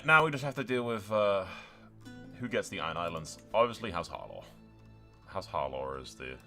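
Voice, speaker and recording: Animated, bright-voiced man doing game commentary. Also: on the low side. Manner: sweary, mock-grandiose. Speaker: gaming video host. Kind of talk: casual game commentary with role-play narration.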